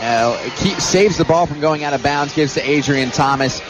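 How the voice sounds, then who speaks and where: A man's voice: fairly high pitch, moderate pace, crowd noise. A man giving emphatic, instructive color commentary on a live basketball radio broadcast. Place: live from a college basketball arena. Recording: noisy arena radio broadcast.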